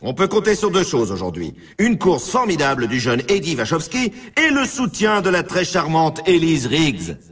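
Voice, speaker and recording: A man playing an excitable, grandiose snowboard championship announcer in a video game, delivering scripted, theatrical sports commentary. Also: fairly high pitch, moderate pace, far from the mic. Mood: excited, intrigued. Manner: theatrical, grandiose, hyping the event.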